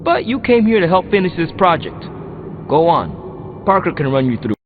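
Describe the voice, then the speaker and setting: A man's voice: on the high side, big pitch swings, normal speed. An actor playing a wary, casual young male mechanic hero in a video game, sceptical but easygoing and informal. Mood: easing up. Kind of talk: video game dialogue acting. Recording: muffled band-limited game audio, music and noise.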